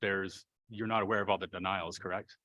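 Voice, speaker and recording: A man leading a school board meeting, speaking in a measured, questioning way with many fillers. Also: deep voice, fairly even intonation, bright, forceful tone, no echo, lo-fi sound. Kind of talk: formal meeting discussion.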